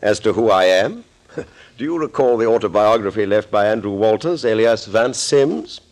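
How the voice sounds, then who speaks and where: An actor playing a sinister middle-aged doctor, florid and mock-polite, in a 1940s radio thriller. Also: male voice, fairly low pitch, animated, normal speed, darkish tone, lightly acted. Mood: smug, amused. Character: sinister middle-aged male doctor, suave, gloating schemer.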